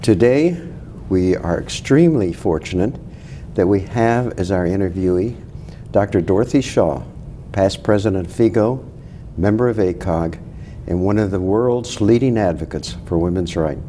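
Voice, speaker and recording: Older guy hosting an interview, formal and measured, mellow low voice. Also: expressive, a little hiss or hum.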